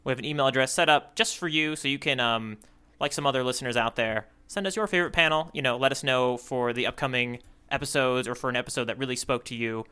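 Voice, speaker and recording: A man's voice: mid-range voice, fast, sharp tone, clean tone. A man co-hosting a casual comic podcast, talking playfully with mock-formal asides. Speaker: podcast co-host.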